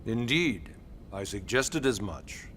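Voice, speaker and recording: An actor playing a stern, dry male officer in a video game, speaking in clipped, deadpan phrases. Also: fairly low pitch, slow, slight background noise. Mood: dry, reproving. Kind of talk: scripted video game dialogue.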